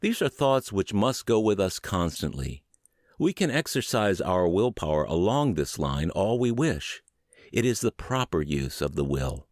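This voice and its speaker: A man reading an inspirational book aloud as a podcast narrator, with measured, deliberate and emphatic phrasing. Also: low-pitched, very animated, darkish tone, no echo, clean studio sound. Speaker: podcast narrator, audiobook reader.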